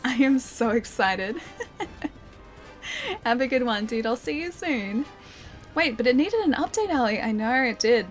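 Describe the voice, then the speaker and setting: A young Australian woman streaming a game, chatting casually and answering her viewers. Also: a little high. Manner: chatty, upbeat, reads chat aloud and answers viewers. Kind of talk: casual live-stream chat while gaming. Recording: game music playing behind the voice.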